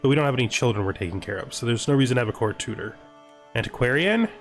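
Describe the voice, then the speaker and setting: A man's voice: medium pitch, lively intonation, very fast, faint music behind. A man giving casual let's-play commentary on a strategy game, talking through his decisions to himself and often checking them with a questioning tag. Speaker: gaming video host playing a strategy game.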